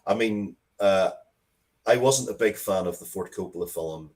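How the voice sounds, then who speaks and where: Casual male interviewee, low register, halting and reflective delivery. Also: darkish tone. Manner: halting, thoughtful, repeats words as he goes. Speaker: interview guest, writer of Dracula comics and media.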